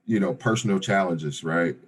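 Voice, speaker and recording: Deep-voiced guy around forty, kinda husky, rambling laid-back podcast guest, lots of "you know" and "right?". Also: moderate pace. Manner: laid-back, many fillers and restarts, says "brother" and "right?". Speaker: real estate investor guest. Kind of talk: casual podcast interview.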